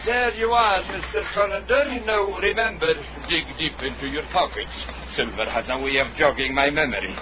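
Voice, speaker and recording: In a dull, noisy radio drama recording, an actor plays a wily Scottish sedan-chair carrier out for money. His voice is high for a man. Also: moderate pace, few pauses, natural voice. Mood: brisk, grumbling. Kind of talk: period radio drama acting. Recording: dull radio drama, clear background noise.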